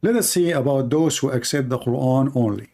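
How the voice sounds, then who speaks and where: A man's voice: mid-range voice, a little fast, gravelly, warm tone. A man whose first language is Arabic, hosting a polemical religious commentary in emphatic, repetitive English. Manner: emphatic, repetitive, non-native phrasing. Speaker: religious debate host.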